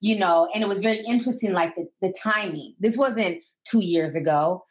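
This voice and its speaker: A woman's voice: mid-range voice, normal speed, lo-fi sound. A woman hosting a call-in show, chatting casually and giving listeners advice.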